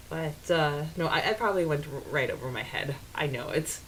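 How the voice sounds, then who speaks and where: Female podcast co-host, lowish voice, casual and halting, some background noise. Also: animated, low-quality recording. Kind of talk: casual chat; reading out quotes and listener comments.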